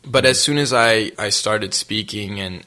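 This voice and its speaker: A young man from Haiti, answering interview questions in a soft-spoken, casual way, often restarting his phrases. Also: lowish voice, a little slow. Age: young adult. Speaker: interview guest.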